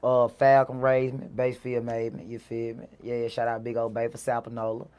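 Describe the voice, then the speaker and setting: A man's voice: medium pitch, completely flat delivery, normal speed, darkish tone, smooth. A man with a Mississippi Southern accent telling stories casually as an interview guest, in a slangy, repetitive way peppered with "you know". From Mississippi, USA. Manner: slangy, repeats himself, frequent "you know". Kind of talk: casual conversational storytelling.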